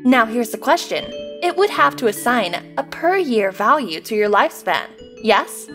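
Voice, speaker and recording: Woman as a high, animated schoolteacher. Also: normal speed. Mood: probing. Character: animated female schoolteacher posing questions to children. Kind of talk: scripted manga dub acting. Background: background music.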